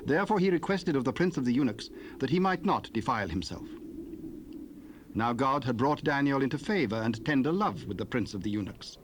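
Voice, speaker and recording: A man narrating a dramatized Bible reading in a measured, solemn way, from an old cassette tape. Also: mid-range voice, animated, noisy background.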